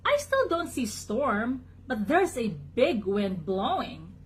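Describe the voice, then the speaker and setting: A woman's voice: fairly high pitch, very animated, takes it slowly, low-quality recording. A woman voicing a flustered, nervous duck lookout in a children's storybook, exclaiming and repeating words. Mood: nervous reporting.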